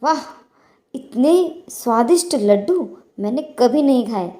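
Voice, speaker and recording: A woman's voice: fairly high pitch, animated, measured pace, dark tone. A woman voicing a sly, greedy young daughter-in-law in a moral storybook tale, crafty in manner. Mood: gleefully scheming. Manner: crafty, feigning innocence. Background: faint music.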